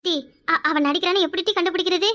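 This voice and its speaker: Complaining wife, played by a woman, very high and bright, rapid gossipy chatter. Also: steady tone. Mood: aggrieved. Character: aggrieved village wife gossiping about in-laws. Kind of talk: village family comedy skit.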